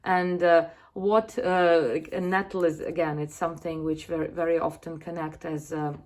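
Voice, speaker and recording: A woman's voice: sits low, flat delivery, moderate pace, a little mellow. A woman in her thirties from Russia, earnestly explaining a deck of cards to camera, with frequent fillers and restarts. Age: 30s. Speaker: card reader presenting her deck. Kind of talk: casual spoken explanation to camera.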